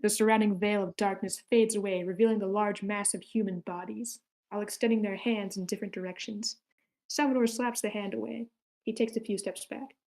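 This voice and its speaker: A woman's voice: mid-range voice, fairly even intonation, a little fast, darkish tone, rough recording. A woman narrating the stage directions of a screenplay table read in short, matter-of-fact sentences.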